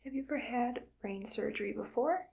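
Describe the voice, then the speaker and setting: A breathy, airy whisper carries this cheerfully callous mad scientist, as a woman acts her out in an ASMR roleplay. Her voice sits a little high with a gravelly edge, and she murmurs her thoughts aloud in a soft, close hush. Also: a little fast, poor audio. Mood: musing, calm.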